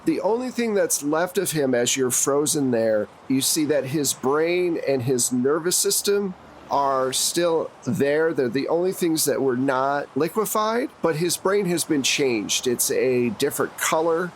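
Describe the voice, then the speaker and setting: A man's voice: mid-range voice, slight background noise, rough recording. A man narrating a tabletop roleplaying game as game master, telling the story conversationally and speaking straight to the players.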